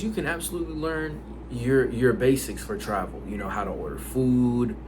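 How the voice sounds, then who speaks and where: A man born in the United States, whose first language is Haitian Creole, talking casually to camera about language learning, rambling with lots of fillers. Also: mid-range voice. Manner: rambling, filler-heavy, conversational. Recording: noisy background.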